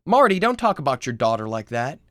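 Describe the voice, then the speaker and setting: Screenplay role: fussing, overprotective mother, lively intonation , played by a male reader. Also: medium pitch, brisk, no echo, clean studio sound, close to an everyday voice. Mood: scolding. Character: sweetly doting, smothering mother of a teenager.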